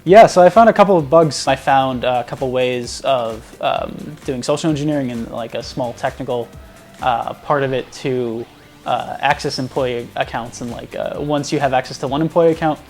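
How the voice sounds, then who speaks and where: A man's voice: medium pitch, normal speed, a little mellow. Young man rambling with many fillers. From New York area. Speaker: bug bounty hunter. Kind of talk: casual interview answers. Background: faint music.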